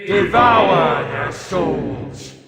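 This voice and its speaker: An actor playing a flamboyant, petulant, sadistic film director villain in a video game, taunting theatrically in a drawn-out delivery. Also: male voice, a little high, very animated, vocal fry, roomy sound, long reverb tail, loud music behind the voice, full character voice. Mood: gloating menace.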